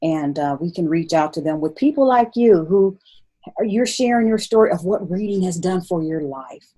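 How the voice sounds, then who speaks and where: Woman, somewhat low pitch, casual interview host, earnest, emphatic repetition. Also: animated, moderate pace, mellow, husky. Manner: earnest, repeating words for emphasis.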